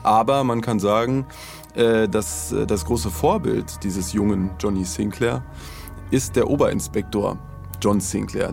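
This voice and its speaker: A man co-hosting a podcast, explaining things casually in a measured way with pauses. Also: on the low side, vocal fry, a little mellow. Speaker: podcast co-host.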